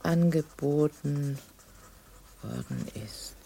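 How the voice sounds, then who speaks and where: An actress playing a subdued woman voicing her letter haltingly, phrase by phrase, in a radio drama. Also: deep voice, gravelly, warm tone, slightly rough, exaggerated voice. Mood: subdued, deliberate. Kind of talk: German radio drama acting.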